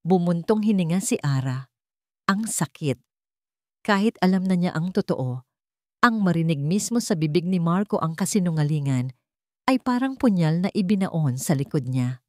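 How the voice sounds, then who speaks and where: A woman's voice: lowish voice, a little mellow, dry recording, clean studio sound. A woman reading a dramatic scripted audiobook story as its narrator.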